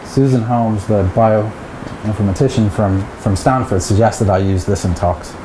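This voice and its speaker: A man's voice: fairly low pitch, fairly even intonation, a little slow. Irish man lecturing in an echoey room. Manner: chatty, joking asides to the audience. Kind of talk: academic seminar talk. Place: seminar room with projector. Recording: echoey room with background noise.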